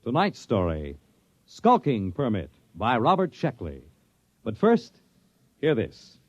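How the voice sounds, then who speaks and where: A man's voice: medium pitch, wildly swooping pitch, slow, slight background noise. A man announcing a 1950s radio drama in a formal, dramatic style. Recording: dull old radio broadcast with music.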